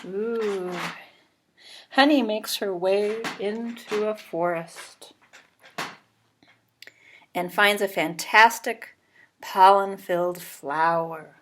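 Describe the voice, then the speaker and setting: A woman reads a picture book aloud at a slow, deliberate pace. She is expressive and leaves pauses between phrases. Noise is clearly audible on the recording. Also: medium pitch. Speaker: storybook reader. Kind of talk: children's book read-aloud with asides. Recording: clearly noisy recording with clicks.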